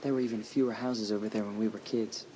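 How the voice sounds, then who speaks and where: A man's voice: on the low side, steady tone, fast, mellow, close to an everyday voice, rough recording. An actor playing a confident, teasing, sporty teenage boy with a romantic streak in a dramatised audiobook. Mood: earnest, eager. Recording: audiobook tape with faint noise.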